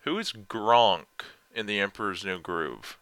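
Rambling young man with a deep voice. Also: expressive, a little slow, fairly bright tone, no room sound. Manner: rambling, self-deprecating, trails off and restarts. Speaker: vlogger answering viewer questions. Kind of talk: casual rambling chat.

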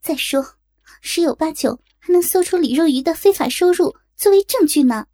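A woman's voice: very high-pitched, expressive, normal speed, warm tone, voice pushed up. A woman voicing an insistent, confident female investigator who presses her case emphatically in an audio drama. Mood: confident, emphatic.

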